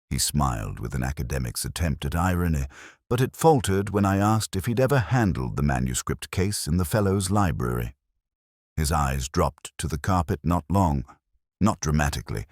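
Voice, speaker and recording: Casting: a man as a brooding, bookish inspector in middle age narrating an audio mystery; rock-bottom bass, measured literary delivery, close dry sound. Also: dry recording. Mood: dry, suspicious. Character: brooding, erudite middle-aged male police inspector. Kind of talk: scripted first-person detective narration, audio drama.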